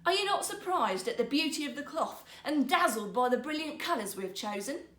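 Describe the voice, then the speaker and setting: A woman voicing a sly, flattering rogue weaver in a storybook, with wheedling, showy salesmanship. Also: sits high, brisk, reverberant, recorded from a distance, voice pushed up. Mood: fawning.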